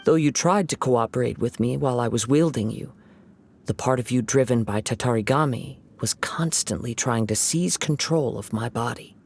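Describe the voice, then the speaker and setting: Calm young wandering samurai, gravelly. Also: male voice, medium pitch, slight background noise. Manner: calm, measured and reflective.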